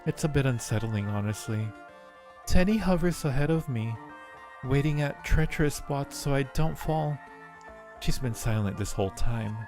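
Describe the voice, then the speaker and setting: A man's voice: mid-range voice, lively intonation, moderate pace, darkish tone, natural voice. A man voicing a lovestruck undead acolyte from a horror game, narrating with earnest, brooding resignation. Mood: brooding, resigned. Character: devoted undead cave acolyte, fatalistic and lovestruck. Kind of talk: dramatic reading of game narration. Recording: music behind the voice.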